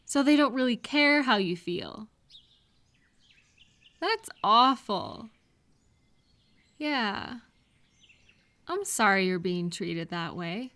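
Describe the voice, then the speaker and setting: A woman playing a kind, caring friend in a comfort roleplay audio, speaking gently and reassuringly with soft questions. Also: medium pitch, animated, slow pace, pauses often. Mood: sympathetic. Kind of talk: comfort audio roleplay, one-sided conversation.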